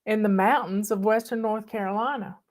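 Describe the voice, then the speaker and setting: A woman's voice: medium pitch, steady tone, a little fast, a little mellow. Older Southern woman, host of her own channel; folksy, chatty and a bit bossy, in a casual storytelling voice. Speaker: online host. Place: home in the mountains.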